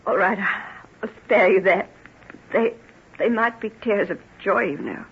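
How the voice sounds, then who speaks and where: An actress playing a devoted, self-effacing plain woman in love, emotional and halting, in an old-time radio drama. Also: medium pitch. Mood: hurt, doubting. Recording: muffled, rough old radio recording.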